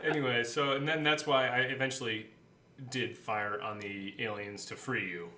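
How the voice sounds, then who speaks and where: Echoey man in halting casual conversation. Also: mid-range voice, steady tone, pushed and bright, slight background noise. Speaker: podcast co-host. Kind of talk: casual behind-the-scenes chat. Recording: echoey room sound.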